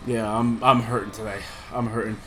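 A man's voice: lowish voice, level tone, brisk, sharp tone, slight background noise. A man with a cough, sick, co-hosting a podcast and rambling casually with frequent swearing. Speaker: podcast co-host. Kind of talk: casual chat.

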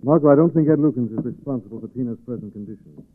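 A cool, assured gentleman sleuth played by a male radio actor, quick-spoken at a medium pitch. The 1940s radio drama sound is narrow and phone-like. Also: close to an everyday voice. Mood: calm, determined.

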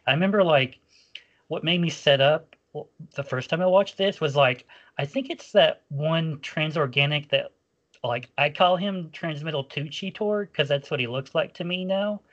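A man's voice: a little high, normal speed, a bit bright, highs cut off. A man co-hosting a casual fan podcast. He rambles, packs in "like" fillers and often restarts his sentences. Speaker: podcast co-host.

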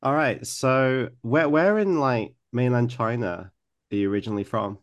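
A man's voice: medium pitch, normal speed, dry recording. A man hosting a podcast interview, asking loose questions padded with many fillers. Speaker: podcast host. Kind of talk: casual interview chat.

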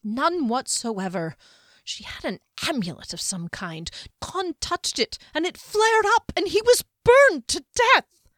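A woman's voice: mid-range voice, big pitch swings, unhurried, dry recording, studio quality. A woman voicing a timid male henchman who whines and panics, his voice climbing toward a shriek. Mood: frantic.

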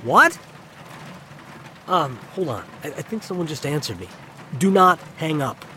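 Audio drama casting: anxious young delivery guy, high voice, stammering, very animated pitch, noisy ambience behind. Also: measured pace, full character voice. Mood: nervous calling out. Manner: hesitant, stammering, jittery. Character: nervous, good-hearted delivery guy alone at a spooky mansion. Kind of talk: naturalistic audio drama acting.

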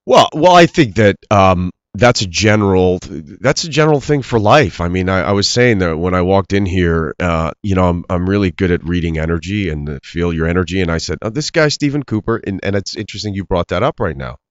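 A man's voice: low voice, expressive, fairly quick, hardly any pauses, dry recording, muffled recording. A middle-aged man from Iran, raised in Pennsylvania, talking earnestly in an interview with many restarts. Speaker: actor guest. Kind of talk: interview conversation.

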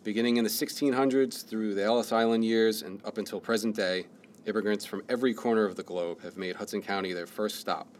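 A man's voice: lowish voice, slight background noise. A man hosting a radio interview, reading a prepared intro and then asking casual questions. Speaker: host, interviewer.

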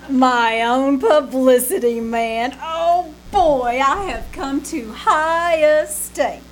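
A woman's voice: sits high, very slow, low-quality recording. A woman playing a dizzy, boastful young Hollywood starlet in old-time radio comedy style, gushing and theatrical with comic declarations. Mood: giddy, elated. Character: dizzy, hyperactive young female movie starlet, boastful and excitable. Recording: live theater stage with background noise.

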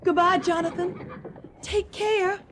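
High-voiced, earnest young Southern woman. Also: steady tone, slow, background noise. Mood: urgent, worried. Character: young Tennessee woman in Civil War, tender, troubled, stubborn. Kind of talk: radio drama acting.

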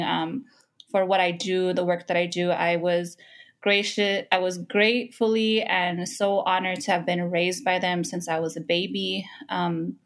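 Creaky-voiced American woman, lowish and reflective. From Los Angeles, United States. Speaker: interview guest. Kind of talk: personal storytelling in casual talk.

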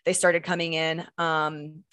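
Low-voiced, laughing woman comedian. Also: little pitch movement, slow pace, a bit bright, smooth, no room sound. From Texas. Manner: chatty, laughs often, many "like" fillers. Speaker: guest comedian. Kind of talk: casual podcast chat.

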